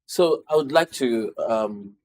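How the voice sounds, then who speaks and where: A man's voice: mid-range voice, expressive, slow pace, a little mellow. A man co-hosting a studio talk show, questioning the guest in a conversational tone. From Nigeria. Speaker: co-host.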